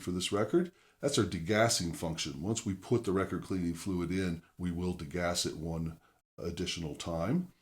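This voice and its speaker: A man with a very low-pitched voice hosts an instructional demonstration. He talks conversationally and explains each option step by step. He speaks straight to the viewer in a methodical way. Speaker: presenter of a record-cleaning tutorial channel.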